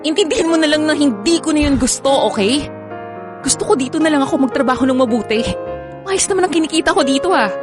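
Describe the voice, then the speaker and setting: High-pitched, defiant young Filipino girlfriend. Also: expressive, fast talker. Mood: exasperated, angry. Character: defiant, fed-up young girlfriend pulling away. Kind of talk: radio drama acting. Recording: music bed under the voice.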